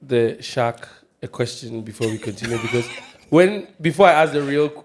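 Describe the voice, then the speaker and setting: A man's voice: mid-range voice, lively intonation, moderate pace, slight background noise. A man, co-hosting a podcast with teasing, laughing asides. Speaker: podcast co-host. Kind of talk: casual chat.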